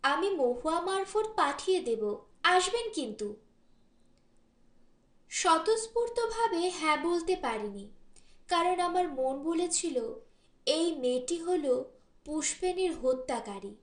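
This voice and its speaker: High-voiced woman narrating slowly. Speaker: audiobook narrator. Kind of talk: literary short story narration. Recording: roomy, echoing voice recording.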